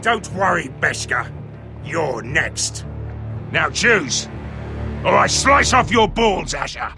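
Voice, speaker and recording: A high-voiced, menacing mercenary captain who swings theatrically through his pitch. He swears heavily and savours every threat in video game drama style, with music clearly behind him. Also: very animated, takes it slowly, exaggerated voice. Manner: mocking, relishing threats, heavy swearing. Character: menacing, theatrical, foul-mouthed one-eyed mercenary leader.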